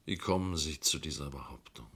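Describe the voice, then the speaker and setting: A man's voice: extremely low pitch, fairly quick, a bit bright, slightly rough, full character voice. An actor playing an indignant, defiant man under accusation in a German crime radio play, stiff and scornful. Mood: defensive, scornful.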